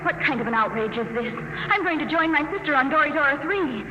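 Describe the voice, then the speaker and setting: A woman's voice: high-pitched, lively intonation, fast talker, reverberant, in a large hall. An actress playing a determined, indignant young emigrant woman in a 1950s radio drama. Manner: insistent, indignant. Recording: noisy muffled 1950 radio recording.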